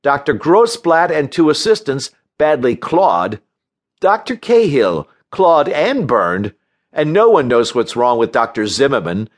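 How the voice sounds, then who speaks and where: A man acts out an indignant male research doctor from a comic audiobook. He speaks in a raised, insistent pitch with pompous, biting sarcasm. His delivery is animated and aggrieved. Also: a little slow. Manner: snarling, indignant, pompous wording. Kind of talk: audiobook character voice in a comic story.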